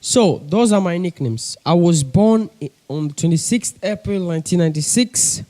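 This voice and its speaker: Casting note: young man, coastal Kenyan accent, laid-back vlogger chat, higher pitched, soft mellow tone, homemade bedroom recording. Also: animated, moderate pace. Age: young adult. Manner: chatty, keeps addressing viewers as guys. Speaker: pigeon-keeping vlogger. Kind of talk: casual chat to camera. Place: bedroom with a desk.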